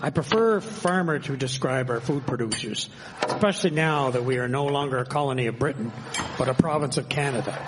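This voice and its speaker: A man playing an earnest, politically minded gentleman of the 1870s in a radio drama, formal and argumentative. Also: mid-range voice, brisk, natural voice. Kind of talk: scripted period radio drama. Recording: echoey hall, clear background noise.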